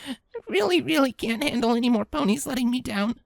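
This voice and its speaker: A woman's voice: a little high, animated, brisk, vocal fry, no room sound, studio quality. A woman voicing a scared, defiant young pony filly with pleading, emphatic delivery in an acted audio drama. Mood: hurt, tearful.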